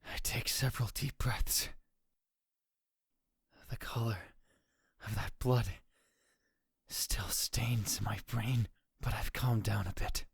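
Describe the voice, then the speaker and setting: Man voicing a husky, shaken young student. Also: lowish voice, steady tone, slow pace, pauses often, dry recording, studio quality, exaggerated voice. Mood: tense. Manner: tense inner monologue, dropping to whispers. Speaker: narrator and lead voice in a fan dub. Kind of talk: first-person visual novel narration.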